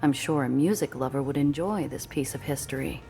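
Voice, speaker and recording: Game heroine telling her story, really deep female voice, composed, soft music under it. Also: a little fast, creaky. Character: low-voiced, cool, observant woman narrating her own story. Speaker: narrator and protagonist. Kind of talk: scripted first-person game narration.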